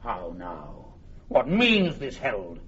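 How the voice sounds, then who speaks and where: An actor playing a stern, pious English warrior king in classical Shakespearean radio style, declaiming from hushed gravity to ringing command. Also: medium pitch, huge pitch swings, slow pace, breathy edge, heavily acted. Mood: angry, commanding. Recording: dull, noisy archival radio drama recording.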